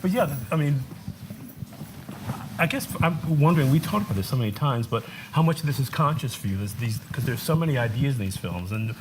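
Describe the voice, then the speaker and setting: A man at a middle pitch talks quickly as he interviews his guest, piling ideas into his questions. Clear background noise sits behind his voice. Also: lively intonation. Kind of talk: casual interview conversation. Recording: noisy room background.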